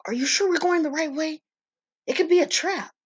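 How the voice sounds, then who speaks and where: A woman's voice: sits high, moderate pace, voice pushed up, natural voice. A woman playing a worried, doubting young man on the run in an audio drama. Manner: worried, questioning.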